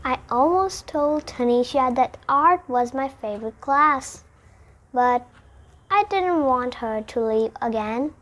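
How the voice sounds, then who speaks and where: A child reads a children's picture book aloud, deliberately, phrase by phrase. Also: high voice, expressive, a bit bright. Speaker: storybook reader.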